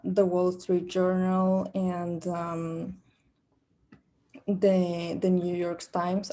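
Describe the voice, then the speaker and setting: A woman's voice: on the low side, mellow. A young woman from Peru with a Peruvian Spanish accent, speaking English as a second language, answering questions in a practice job interview with many fillers and restarts. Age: young adult. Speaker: job candidate.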